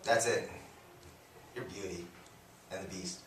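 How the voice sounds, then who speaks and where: A man's voice: sits low, fairly even intonation, fairly bright tone. Laid-back male co-host in an echoey room. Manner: laid-back, quick to laugh, teasing. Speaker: radio co-host. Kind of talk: casual banter on a local radio show. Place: radio studio. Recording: echoey room, distant mic, faint noise.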